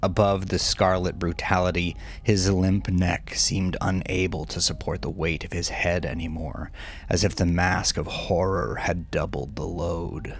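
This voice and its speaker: Deep, gravelly, slow-reading male storyteller. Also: flat delivery. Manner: brooding, deliberate, measured dramatic delivery. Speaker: novelist reading own novel, podcast host. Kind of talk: dramatic scripted audiobook narration. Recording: faint music bed under voice. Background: faint music.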